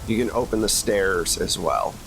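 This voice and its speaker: A man's voice: lowish voice, animated, moderate pace, creaky, fairly bright tone, slight background noise, rough recording. A man running a tabletop roleplaying game, improvising descriptive narration in a conversational way. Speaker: game master.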